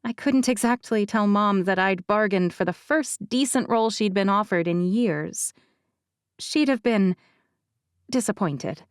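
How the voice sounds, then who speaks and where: A woman voicing a guarded, self-effacing young actress in an audiobook, telling her story in a measured, confiding way. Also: mid-range voice, smooth, dry recording, clean studio sound, natural voice. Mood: wry, resigned. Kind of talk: audiobook character dialogue.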